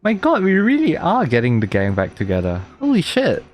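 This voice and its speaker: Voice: medium pitch, wildly swooping pitch, brisk. A streamer giving casual gameplay commentary in a chatty, informal way. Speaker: streamer playing a game. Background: game music.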